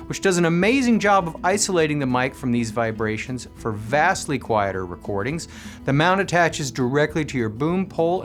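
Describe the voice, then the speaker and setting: A man presenting a scripted product review, explaining things with clear enunciation. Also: on the high side. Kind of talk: scripted product review narration with live demo commentary.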